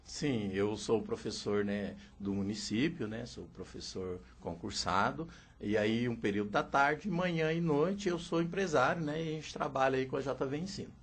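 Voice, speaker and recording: A man's voice: mid-range voice, normal speed, few pauses, slight background noise. A man, a teacher and interpreter, explaining steadily in an interview and often adding "né". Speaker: sign language teacher and interpreter. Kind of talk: radio interview answers.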